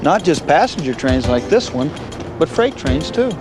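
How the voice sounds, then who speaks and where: A man's voice: fairly high pitch, very animated, normal speed, music in the background. A man playing a friendly train engineer with an American accent, patiently explaining things to children in an educational film. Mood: patient, instructive.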